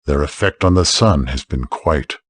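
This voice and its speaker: A man's voice: extremely low pitch, moderate pace, breathy edge, no room sound, clean studio sound. A man voicing a grave, wise old lord in a fantasy game, speaking in a solemn, formal manner.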